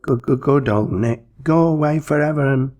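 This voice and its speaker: A man's voice: medium pitch, normal speed, a little mellow, voice pushed up, natural voice. A man voicing a nervous, cunning schoolmaster wizard in a storybook, plaintive and exclamatory. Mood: terrified pleading. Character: terrified, cunning male schoolmaster wizard, quavering and pleading. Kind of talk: storybook character voice.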